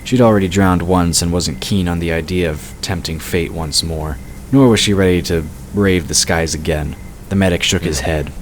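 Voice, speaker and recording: A man's voice: sits low, moderate pace, slight background noise, rough recording. A man reading scripted fantasy narration as the narrator of an audio drama.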